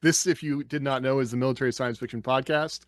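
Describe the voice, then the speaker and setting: A man's voice: mid-range voice, steady tone, fast talker, dry recording, studio quality. A man hosting a casual panel chat in a playful, joking way. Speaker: podcast host.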